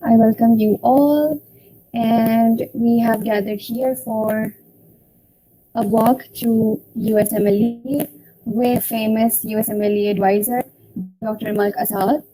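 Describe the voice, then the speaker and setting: A young woman hosting an online student session, speaking slowly and formally as she welcomes guests. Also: fairly high pitch, fairly even intonation, mellow, rough recording. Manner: slow, deliberate, formal phrasing. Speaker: event host for a student association.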